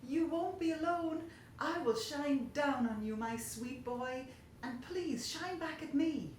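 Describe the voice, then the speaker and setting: A woman voicing the kindly old man in the moon, a loving father with a tender, measured delivery, in a storybook. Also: high voice, reverberant, slight background noise, pitched above the performer's own voice.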